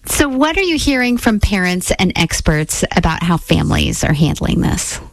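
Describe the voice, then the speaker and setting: A woman's voice: fairly low pitch, sing-song, normal speed, gravelly, dry recording, poor audio. A woman hosting a radio news program, putting prepared questions to a guest. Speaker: radio host, interviewer.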